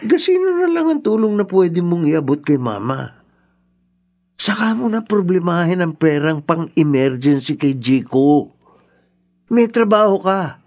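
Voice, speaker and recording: A man acting an idle, teasing, wheedling older brother in a Filipino radio drama, with animated, colloquial talk. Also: high voice, a little slow, muffled recording, heavily acted. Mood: wheedling, protesting.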